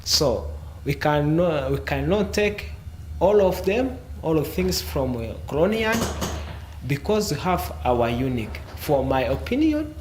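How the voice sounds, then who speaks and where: A man on a discussion panel who speaks haltingly, with many pauses and fillers. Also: a little high, big pitch swings, a little hiss or hum.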